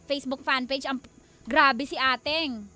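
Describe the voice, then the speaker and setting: A woman's voice: high voice, measured pace, pushed and bright. A woman with a Filipino accent co-hosting a radio show in casual, playful banter, with teasing exclamations and dramatic emphasis.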